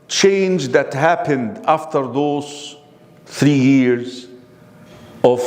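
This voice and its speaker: A man's voice: medium pitch, darkish tone. A man giving a classroom lecture on religion, constantly quizzing his audience with questions. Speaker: Islamic lecturer. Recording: long reverb of a hall.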